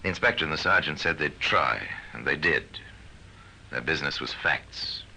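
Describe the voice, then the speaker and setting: An actor playing a sardonic, portentous male narrator of an old-time radio crime drama, measured and wry. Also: sharp tone, faint music behind, full character voice. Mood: wry, ominous. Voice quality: very deep, gravelly. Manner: measured, wry, ominous storytelling. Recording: noisy vintage radio recording.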